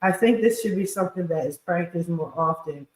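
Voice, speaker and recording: A woman's voice: lowish voice, brisk, roomy sound. A woman hosting a casual, chatty livestream with a scratchy voice and blunt, slangy, informal talk. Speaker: podcast host and credit repair specialist.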